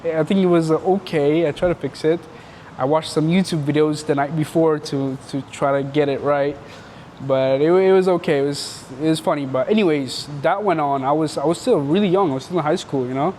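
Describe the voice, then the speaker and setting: Young man from Canada, loose and slangy storyteller, slightly high voice, faint background noise. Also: steady tone, fairly quick, a little mellow. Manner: rambling and slangy, full of filler words. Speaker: entrepreneur telling his story. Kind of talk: casual storytelling interview.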